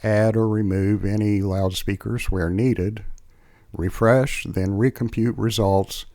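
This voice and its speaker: Low-voiced man narrating a software demo. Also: steady tone, takes it slowly, dry recording, studio quality. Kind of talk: scripted tutorial narration.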